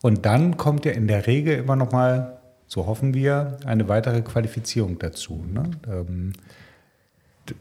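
A man's voice: medium pitch, moderate pace, dark tone. A man from the nursing school taking part in an interview, speaking in a conversational way with frequent affirming murmurs.

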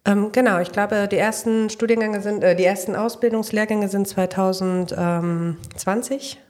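A woman's voice: medium pitch, fairly even intonation, moderate pace, darkish tone. A woman from Italy, a nursing school teacher giving detailed, explanatory answers in an interview.

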